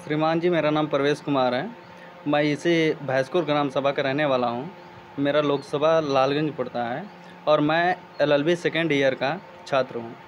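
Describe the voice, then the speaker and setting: A man's voice: fairly high pitch, fairly even intonation, normal speed, slight background noise. A young male student answering outdoors, hesitant and searching for words. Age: young adult. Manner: hesitant, many fillers and restarts. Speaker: law student interviewee. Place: outdoors in a village.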